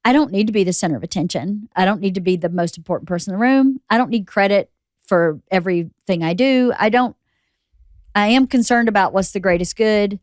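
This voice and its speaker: A woman hosting a podcast in casual interview chat, with a loud voice and an opinionated, curious way of talking. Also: medium pitch, brisk, dry recording, clean studio sound. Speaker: podcast host.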